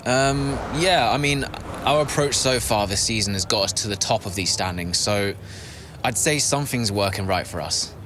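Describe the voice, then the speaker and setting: An actor playing a loyal, steady racing driver in a video game drama, speaking in a measured, hesitant, earnest way. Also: male voice, fairly low pitch, close to an everyday voice. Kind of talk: naturalistic video game cutscene acting. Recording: clear background ambience noise.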